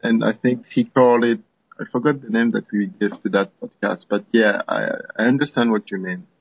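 A man hosting a podcast, asking interview questions in a halting way with fillers. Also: on the low side, steady tone, breathy edge, no room sound. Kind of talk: casual interview. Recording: dry, dull lo-fi sound.

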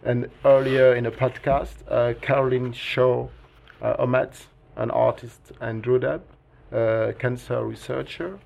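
A man's voice: medium pitch, fairly even intonation, unhurried, creaky, a little mellow. A man who is a PhD student hosting a podcast interview, asking questions hesitantly with many fillers.

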